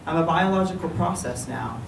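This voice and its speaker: A man giving a measured, solemn reading of a literary piece before a live event. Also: a little high, expressive. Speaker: writer reading own work. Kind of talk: literary reading, dramatic monologue in verse. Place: public reading in a library room. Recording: echoey room, distant mic, clear noise.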